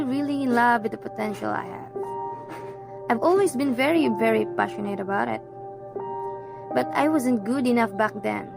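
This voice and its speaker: A woman's voice: high voice, vocal fry. A woman playing an eager, sporty girl student with super strength.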